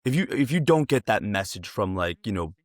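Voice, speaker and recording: A man's voice: fairly low pitch, big pitch swings, brisk, no echo. A man hosting a casual interview, talking in a wordy, affirming, conversational way. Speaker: interviewer, podcast host.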